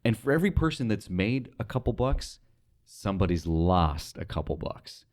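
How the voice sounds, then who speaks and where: A man's voice: on the low side, sing-song, normal speed, a little mellow. A man with an American accent talking casually as an interviewer on a conversational show.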